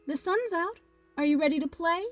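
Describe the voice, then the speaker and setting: A woman's voice: very high-pitched, normal speed, distant mic, pitched above the performer's own voice. A kindly, weary mother, played by a woman reading aloud in a children's storybook. She sounds stretched thin but caring, with music underneath. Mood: cheerful. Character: tired, stressed but kindly mom. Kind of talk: children's storybook character acting.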